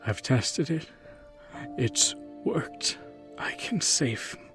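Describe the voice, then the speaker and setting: A man voicing an elderly, obsessed man in a horror roleplay, pleading in a halting, hushed, repetitive way. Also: medium pitch, lively intonation, music in the background. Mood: evasive pleading.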